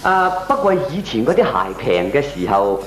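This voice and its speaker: A man's voice: mid-range voice, very animated, moderate pace, a little hiss or hum, natural voice. An actor playing a frugal, good-humoured ordinary man, explaining things expressively in comic radio drama with a Hong Kong accent. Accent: Hong Kong Cantonese. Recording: echoey old radio drama recording.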